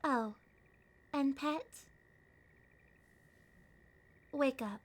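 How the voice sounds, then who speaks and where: Woman playing a slow-talking, haughty vampire girl. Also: sits high. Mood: haughty, scornful. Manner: condescending, teasing, slow hypnotic murmurs and whispers. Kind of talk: ASMR roleplay.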